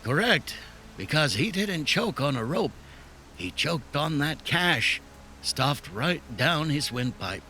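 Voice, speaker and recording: A man's voice: on the high side, big pitch swings, slow, sharp tone, slight background noise, heavily acted. An actor playing a wry, theatrical male forensic examiner in a video game, drawing out his words with relish. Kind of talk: video game cutscene dialogue.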